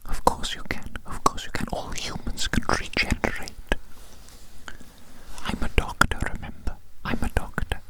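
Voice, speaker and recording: A performer voicing a polite, eerily eager alien posing as a friendly doctor, in a breathy, repetitive, coaxing whisper for an up-close ASMR roleplay. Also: natural voice, poor audio. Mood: coaxing, insistent. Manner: slow, repetitive, formal and coaxing. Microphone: very close microphone.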